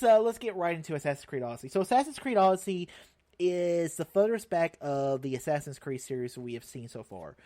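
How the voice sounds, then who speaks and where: A young man hosting his own show, chatting casually about a video game, rambling and restarting sentences with sudden animated bursts. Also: medium pitch. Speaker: show host.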